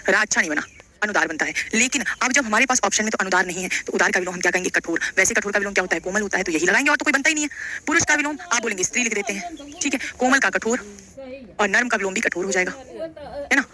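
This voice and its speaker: A woman's voice: medium pitch, lively intonation, rapid-fire, pushed and bright. A woman teaching a live online lesson, explaining quiz questions in an instructive way, repeating phrases and putting questions to her students. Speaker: Hindi grammar teacher for exam coaching.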